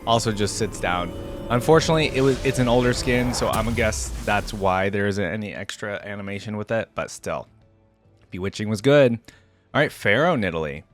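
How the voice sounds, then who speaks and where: A man's voice: on the low side, a little fast, soft background music. A man hosting a casual reaction commentary, speaking informally and musing aloud. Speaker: reaction video host.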